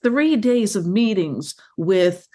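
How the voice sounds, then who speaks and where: A woman's voice: mid-range voice, slow pace. Chatty woman filmmaker telling stories. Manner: animated, chatty storytelling with fillers and restarts. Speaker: filmmaker guest. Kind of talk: conversational interview.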